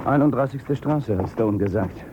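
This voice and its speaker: A man's voice: medium pitch, normal speed, close to an everyday voice. Radio play: male actor as wary, probing companion, mid-range voice, insinuating, engine noise behind. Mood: conversational. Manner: insinuating, questioning. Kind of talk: German radio drama acting. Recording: muffled, with clear vehicle noise.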